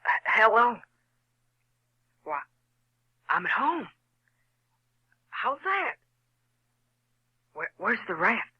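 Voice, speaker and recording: A man playing a bold, excitable Southern boy in an audio drama, eager and insistent. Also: high-pitched, drawn-out words, pauses often, breathy edge, dull audio, full character voice. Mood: dazed. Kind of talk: audio theater acting.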